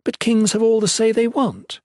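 A man voicing a high-born young woman in an audiobook, bitter, imperious and anguished, speaking with theatrical, sarcastic emphasis. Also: moderate pace, a little mellow, dry recording, clean studio sound. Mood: probing.

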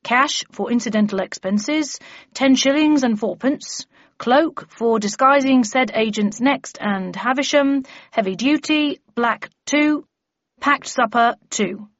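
A woman's voice: on the high side, takes it slowly, voice pushed up. A woman voicing a polite, fussy middle-aged male storekeeper clerk with formal, itemising speech in an audiobook. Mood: businesslike. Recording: muffled audio, roomy echo.

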